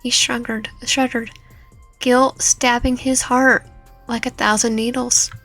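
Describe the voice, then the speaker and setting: Higher-voiced woman narrating a dramatic story. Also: little pitch movement, slow, a bit bright. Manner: stumbles and repeats words while reading. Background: background music.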